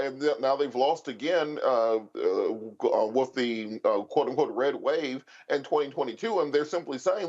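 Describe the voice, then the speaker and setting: A man speaking as a panelist, giving emphatic, off-the-cuff political commentary and arguing his points. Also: medium pitch. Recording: dull, lo-fi remote feed.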